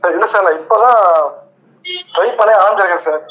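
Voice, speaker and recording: A high-pitched man speaks over a muffled phone line. He sounds deferential and eager, like a newcomer at an audition. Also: quick pace. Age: 20s. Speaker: aspiring actor being prank called. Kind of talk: phone audition, casual answers.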